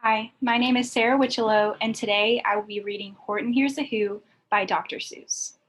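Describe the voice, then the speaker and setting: A woman reads a rhyming children's picture book aloud in an expressive storytelling style. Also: fairly high pitch, normal speed, poor audio.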